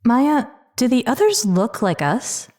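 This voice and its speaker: Actress as earnest, lively scientist advisor. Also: female voice, on the high side, slow pace. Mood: diplomatic. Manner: earnest, diplomatic, explanatory. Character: open-minded, reasoning female scientist and presidential advisor. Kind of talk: sci-fi audio drama acting.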